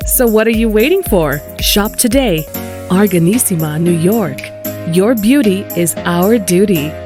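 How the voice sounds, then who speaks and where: A woman reading a radio advertisement as an upbeat sales pitch. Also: expressive, measured pace. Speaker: ad announcer. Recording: ad with faint music bed.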